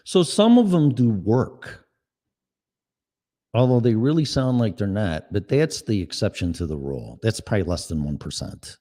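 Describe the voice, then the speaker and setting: Animated, profane Chicago-accented man ranting. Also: lowish voice, moderate pace. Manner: profane, sarcastic, blunt. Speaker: podcast host. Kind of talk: solo podcast rant.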